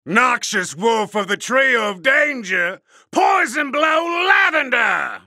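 A sadistic wolf-man fighter for a video game, sneering and taunting in a harsh, gravelly shout. The pitch is high and swings wildly, and the tone is piercing and forceful. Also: sing-song, slow, no echo, studio quality, heavily acted. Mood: cocky battle shouts. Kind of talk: video game battle voice lines.

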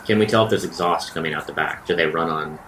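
Low-voiced man playing a tabletop game. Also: fast talker, fairly bright tone. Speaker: player in a role-playing game. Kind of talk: casual in-character table talk. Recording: faint room noise behind.

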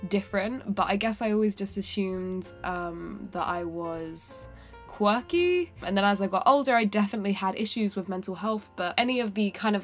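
A young woman with a cold talks into the camera in her bedroom, her voice nasal and stuffy. She rambles with lots of ums and self-corrections. Soft music plays faintly behind her. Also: medium pitch. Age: 20s. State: has a cold, sounds nasal. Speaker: singer-songwriter and vlogger. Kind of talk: casual talking-to-camera vlog. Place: bedroom indoors.

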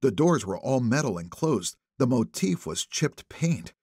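A man narrating a thriller audiobook in the first person, with expressive, suspenseful phrasing. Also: medium pitch, a little slow, breathy edge, no room sound, studio quality.

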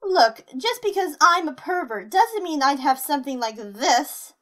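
A woman's voice: high voice, expressive, a little slow. A woman voicing a brash, flirtatious female monster in a fan audio drama, with a provocative, sassy drawl.

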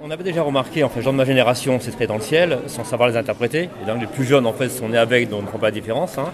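A middle-aged man from the audience giving his reaction in a street-style interview, measured and reflective. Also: mid-range voice, music in the background. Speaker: audience member. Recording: busy crowd behind.

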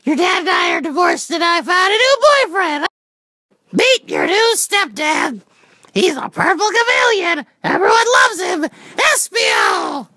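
A woman voicing a loud, cheerful cartoon mom who bluntly and proudly announces things. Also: very high voice, big pitch swings, unhurried, no room sound, full character voice.

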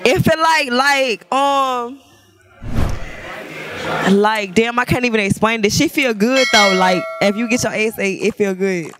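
A woman's voice: a little high, unhurried. A drunk young woman from Memphis with a Southern US accent, talking brashly and profanely in a casual street interview. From Memphis, Tennessee. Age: young adult. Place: outdoor sidewalk outside a venue at night. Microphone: handheld microphone. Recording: busy nighttime street crowd behind.

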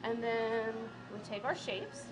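A woman's voice: a little high, fairly even intonation, slow pace, music in the background, low-quality recording. Friendly woman baker explaining. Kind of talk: explaining baking on live TV. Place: bakery kitchen, indoors.

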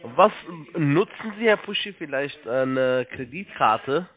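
A man working as a call-centre agent, giving halting step-by-step instructions, repeating himself and spelling things out. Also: mid-range voice, animated. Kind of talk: step-by-step phone sales instructions. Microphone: telephone. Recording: tinny narrow phone line.

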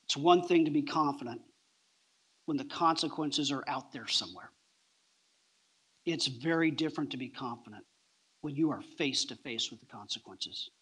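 A middle-aged man preaching a sermon on a church stage, emphatic, with deliberate pauses between short phrases. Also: medium pitch, a bit bright, breathy edge, low-quality recording. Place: large church auditorium, on stage.

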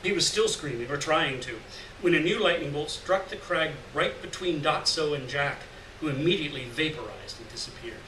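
A middle-aged man reads fiction aloud to the room in a deliberate, dramatic way. His voice sits a little high. The recording is echoey and somewhat distant, with clear noise. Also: animated, sharp tone. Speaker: reader of short fiction. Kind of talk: live literary story reading, scripted narration. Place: indoors at a lectern in a gallery room. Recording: roomy, distant, noisy live room recording.

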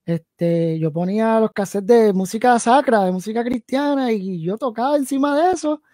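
A man's voice: sits high, moderate pace, mellow, no echo. A man in his late thirties from New Haven, Connecticut, chatting as a guest musician on a video call from his home studio, rambling and thinking aloud with many fillers. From New Haven, Connecticut, USA. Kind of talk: casual interview chat. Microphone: headset and video call.

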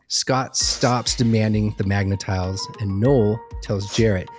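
Guy narrating a roleplay scene like a game master, kinda low voice, music bed. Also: steady tone, a little slow. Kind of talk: narrating an improvised role-play scenario.

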